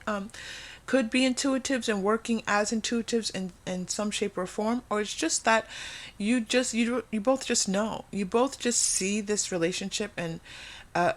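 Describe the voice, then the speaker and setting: A woman giving a casual, intuitive tarot reading to viewers, thinking aloud conversationally and repeating phrases for emphasis. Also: mid-range voice. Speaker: tarot reader and host.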